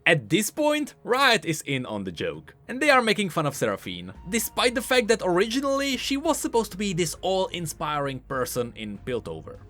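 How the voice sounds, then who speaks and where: A man's voice: high voice, wildly swooping pitch, moderate pace, few pauses, a bit bright, soft background music. A man giving scripted, explanatory commentary on video game lore in an emphatic way.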